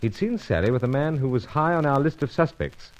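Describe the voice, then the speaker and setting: An actor playing a gallant, stubborn British secret agent in an old radio adventure serial, with clipped gentlemanly speech and a British accent. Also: male voice, medium pitch, expressive, brisk, close to an everyday voice. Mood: grim, dramatic. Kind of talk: old-time radio adventure serial acting and first-person narration. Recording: dull, muffled old radio recording.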